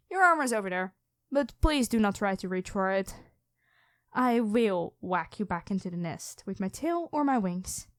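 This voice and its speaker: A woman voicing an imperious, teasing female dragon queen in an ASMR roleplay, speaking to the listener with drawn-out, commanding delivery. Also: mid-range voice, dry recording, studio quality, natural voice.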